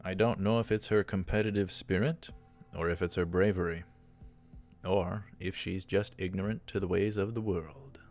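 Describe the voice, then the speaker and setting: Male let's play host, low pitch, narrating and commenting on a visual novel over background music. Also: steady tone, moderate pace, darkish tone.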